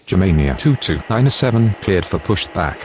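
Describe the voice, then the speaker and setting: A man's voice: deep voice, big pitch swings, normal speed. A man playing a businesslike aviation radio operator with scripted calls. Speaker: air traffic radio voice. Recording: loud vehicle-like ambient noise.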